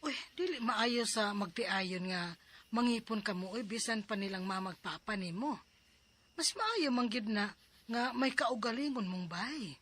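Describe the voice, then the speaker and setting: An actress playing a strict but kind unmarried aunt who gives bossy advice in a radio drama. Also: female voice, a little high, lively intonation, measured pace, a bit bright, slightly rough, heavily acted. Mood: advising. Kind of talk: Cebuano radio drama acting.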